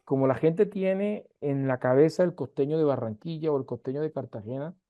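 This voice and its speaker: A man's voice: fairly high pitch, brisk, phone-quality sound. A man from Colombia's Caribbean coast, with its accent, teaching an online university class in an emphatic way full of rhetorical questions, on a video call. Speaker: university lecturer.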